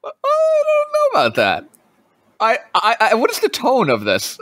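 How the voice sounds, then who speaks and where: Lively, swooping male podcast co-host. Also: extremely high pitch, no room sound, studio quality. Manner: animated, wry, quick to question. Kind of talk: casual chat.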